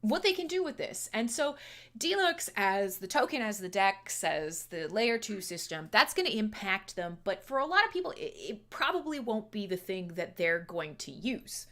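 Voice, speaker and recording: A woman's voice: mid-range voice, big pitch swings, normal speed, a bit bright. A Canadian woman hosting a casual livestream chat, playful and chatty, laughing often as she talks. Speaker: livestream host.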